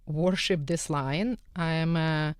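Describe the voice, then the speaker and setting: A woman's voice: low voice, slow, smooth, no room sound, clean studio sound. A woman from Eastern Europe with a Russian accent, a guest on a radio panel who talks earnestly and often drops articles. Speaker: guest, skin care salon owner. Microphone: studio microphone.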